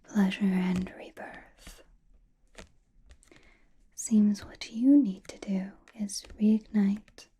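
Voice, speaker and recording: A woman playing a calm, knowing, teasing sorceress who reads tarot in an ASMR roleplay, with a breathy, soothing whisper and deliberate delivery. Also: mid-range voice, full character voice. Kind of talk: ASMR roleplay, personal attention.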